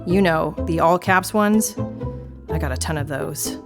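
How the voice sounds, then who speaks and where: A woman's voice: low-pitched, measured pace, music in the background. An actress playing a frazzled, wry woman knitter, telling her story in a confiding, sarcastic, exasperated monologue. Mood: rueful. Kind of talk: audio drama monologue, conversational storytelling to listener.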